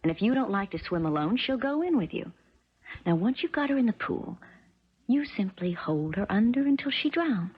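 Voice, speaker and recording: Spoiled rich young woman, husky, cold, bossy, American, dull-sounding 1970s radio drama. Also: medium pitch, sing-song, moderate pace. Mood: scheming, brisk. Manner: bossy, matter-of-fact. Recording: muffled 1970s radio recording.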